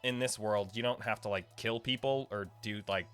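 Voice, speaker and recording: A man's voice: lowish voice, normal speed, a bit bright, soft background music. A man hosting a gaming video, giving casual, informal lore commentary in a rambling, speculative way. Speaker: gaming video creator and host.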